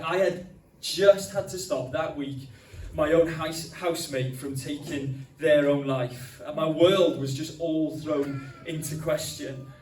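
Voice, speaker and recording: A man's voice: medium pitch, a little mellow, slight background noise. A young man preaching a sermon in a chatty, storytelling way, with many ums. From Wales. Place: church hall. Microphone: handheld mic. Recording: echoey hall from a distance.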